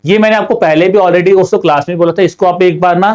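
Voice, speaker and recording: A young Indian man with a slightly high voice and a sore throat runs an exam prep class. He reads each question slowly in English. Then he speeds up and explains the answer in a quick mix of Hindi and English. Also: fairly even intonation, darkish tone, smooth. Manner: mixes Hindi and English, slow when reading questions aloud, fast when explaining answers. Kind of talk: online class solving quiz questions.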